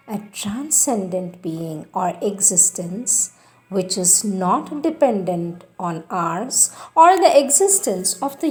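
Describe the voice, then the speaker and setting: A woman reading a spiritual text aloud in a solemn, deliberate, devotional way, with emphatic phrasing and frequent pauses. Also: medium pitch, a little mellow. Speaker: reader of spiritual texts.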